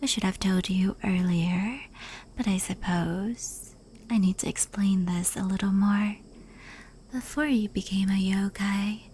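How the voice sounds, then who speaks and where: A woman voicing a proud, powerful fox yokai in a hushed, intimate ASMR roleplay, soft and breathy. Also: mid-range voice, a little hiss or hum, close to an everyday voice. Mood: tender, reflective. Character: proud, powerful female fox yokai, fond confidante of a human.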